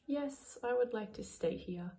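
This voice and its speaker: A woman working as an English language assistant, answering interview questions slowly and carefully. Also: on the high side, animated, warm tone. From Australia.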